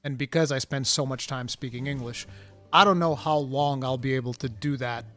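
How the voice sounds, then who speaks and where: A man's voice: mid-range voice, faint music behind. A man from Portugal, a native Portuguese speaker, hosting a live stream in casual, chatty talk. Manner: chatty, animated, quick asides.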